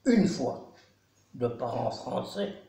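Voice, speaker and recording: A man's voice: medium pitch, big pitch swings, takes it slowly, vocal fry, warm tone. Solemn Belgian-born man in his nineties. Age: 90s. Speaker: care home resident. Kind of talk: spoken testimony. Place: nursing home. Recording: echoey room.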